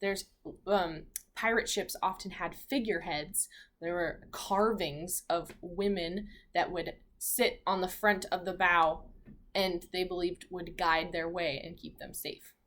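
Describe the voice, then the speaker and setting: A woman's voice: on the low side, a little hiss or hum. A woman teacher reading a picture book to young children, expressively, with chatty asides and questions. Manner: expressive, pausing to comment on pictures and prompt children. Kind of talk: storybook read-aloud with asides and questions to young listeners.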